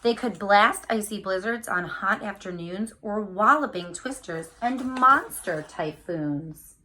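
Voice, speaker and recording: A woman with a very bright, forward voice at a medium pitch reads a picture book to young children. She addresses her listeners directly and leans on key words, stretching them out with animated storyteller expression. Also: unhurried, a little hiss or hum, low-quality recording. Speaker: read-aloud storyteller for children.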